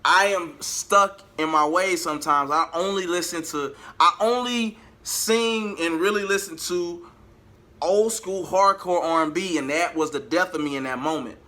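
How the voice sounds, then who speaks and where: A man's voice: on the high side, measured pace, pushed and bright. A man telling a personal story to his viewers in a casual, emphatic way, repeating phrases for emphasis. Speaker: vlogger and R&B singer.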